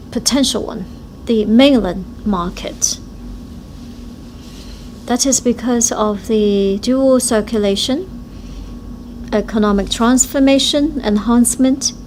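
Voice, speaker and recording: Female simultaneous interpreter, slow and measured, speaking in short chunks. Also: a little high, darkish tone, a little hiss or hum, rough recording. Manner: halting, short phrase-by-phrase delivery. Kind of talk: live interpretation of speeches.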